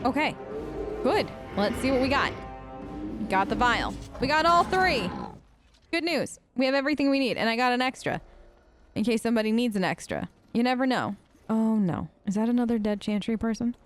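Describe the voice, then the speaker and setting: A woman's voice: fairly high pitch, lively intonation, normal speed, no echo, soft background music. A woman giving casual, chatty gameplay commentary, often talking to herself and to the characters as she plays. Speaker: let's play host.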